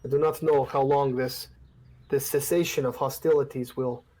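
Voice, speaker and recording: A grave young nobleman speaks in stiff, formal phrases, voiced by a man leading a tabletop game. The tone is low-key and solemn, like a brother set on keeping his family safe. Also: fairly even intonation, normal speed, warm tone, natural voice, rough recording. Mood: urgent.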